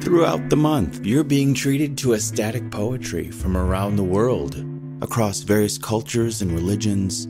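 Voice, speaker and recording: A man's voice: on the low side, a little slow, music in the background. A man giving scripted narration as a podcast announcer.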